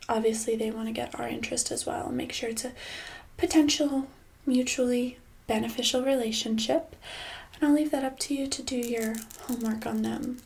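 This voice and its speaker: A woman playing a controlling, passive-aggressive boss in a soft-spoken ASMR roleplay, breathy and slipping into whispers, condescending and casually menacing. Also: fairly high pitch, steady tone, a little fast. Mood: bossy, threatening. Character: controlling, passive-aggressive female boss holding an employee hostage.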